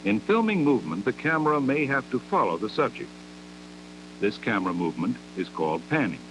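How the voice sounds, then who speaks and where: A man narrates a scripted 1960s educational film in a measured, didactic manner, with deliberate phrasing, on an old 16mm soundtrack. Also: mid-range voice, very animated, background noise.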